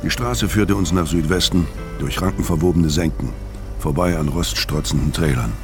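A hard-bitten middle-aged killer speaks in a low voice over prominent music. He is terse and brooding, with a weary, sardonic edge, in the manner of a noir radio play. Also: moderate pace. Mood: brooding, tense. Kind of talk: radio crime drama, first-person noir narration and dialogue. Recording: music bed under the voice.

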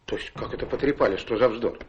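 An actor playing a blustering, hearty gentleman in a radio play, speaking in a pompous, declamatory manner. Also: medium pitch, quick pace, highs cut off, slight background noise, lightly acted. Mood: indignant.

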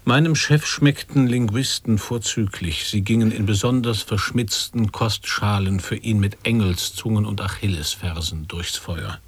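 Solemn narrator, lowish male voice, measured formal delivery, absurdist radio drama, dry sound. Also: breathy edge, no room sound.

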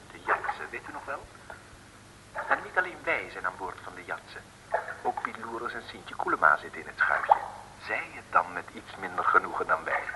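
A man narrating a radio serial from a script, in the style of an old broadcast. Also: low-pitched. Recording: distant, very noisy old radio recording.

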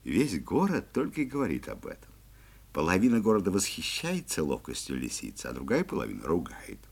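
A man's voice: low-pitched, wildly swooping pitch, brisk, vocal fry, slightly rough, heavily acted. An actor playing a kindly forester father in a children's audio play, marvelling and emphatic as he explains things to his boy. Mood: marvelling, amused. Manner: emphatic storytelling to a child, astonished. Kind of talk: 1970s Soviet audio play acting.

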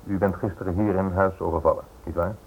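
Police inspector, measured, courteous but firm, radio drama, thin tinny old recording, faint noise. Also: male voice, low voice, fairly even intonation. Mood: firm warning. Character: courteous, warning Scotland Yard police inspector. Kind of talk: radio play acting.

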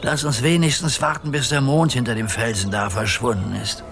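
A man's voice: medium pitch, brisk, over music, natural voice. An old man as a cautious, good-natured grandpa captain. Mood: calm. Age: older. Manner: calm, gently teasing, cautious. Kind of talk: children's radio play acting.

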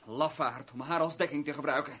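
Guy acting tense armed man in old radio play, highish voice, defiant, pretty noisy tape. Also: steady tone, brisk, a bit bright, natural voice. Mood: wary, defiant.

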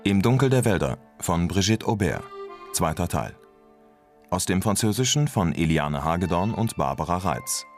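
A man with a fairly deep voice works as a radio drama announcer. He reads credits formally and factually, with music playing behind him. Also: expressive, moderate pace. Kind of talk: formal scripted credits reading.

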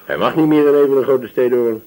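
A man's voice: medium pitch, fast, darkish tone, lightly acted, rough recording. A man acting an obliging, gossipy village police sergeant in a radio drama, chatting deferentially with small hesitations. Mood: confiding.

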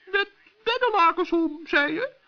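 Little male forest gnome: extremely high, chirpy, kindly, curious, gently scolding; muffled old radio-play sound. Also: animated, measured pace, exaggerated voice. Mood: eager, inquisitive. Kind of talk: children's radio play acting. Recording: dull old radio recording.

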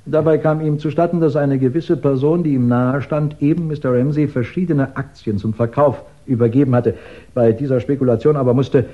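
Schauspieler als bedächtiger Ermittler, dumpfe alte Hörspielaufnahme. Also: male voice, on the high side, warm tone, close to an everyday voice. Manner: deliberate, courteous, probing, formal. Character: shrewd male investigator methodically laying out a murder case. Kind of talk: 1960s German radio crime drama acting. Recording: dull 1960s radio recording.

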